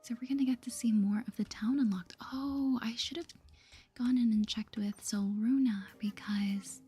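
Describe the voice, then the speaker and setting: Breathy whispering woman, soft and mellow. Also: on the high side, fairly even intonation, moderate pace. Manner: gentle, playful, thinking aloud. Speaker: gaming video host. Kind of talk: soft-spoken ASMR game playthrough, reading dialogue aloud.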